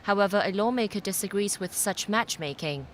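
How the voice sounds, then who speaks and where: A woman news reporter reads a scripted voice-over. Her pitch sits in the middle, and faint ambient noise runs behind her. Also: lively intonation, fairly quick.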